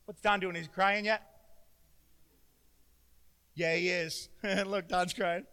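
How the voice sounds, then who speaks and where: Loud, bright-voiced pastor in a reverberant hall. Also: male voice, high-pitched, steady tone, slow pace, clean tone. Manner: loud, emotional, self-deprecating humor. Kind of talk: sermon.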